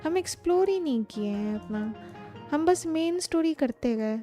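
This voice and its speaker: Female streamer, Indian accent, chatty Hindi-English commentary, high soft-toned voice, faint game music behind. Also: expressive, very fast. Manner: mixes Hindi and English, chatty, muses aloud. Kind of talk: casual live gameplay commentary.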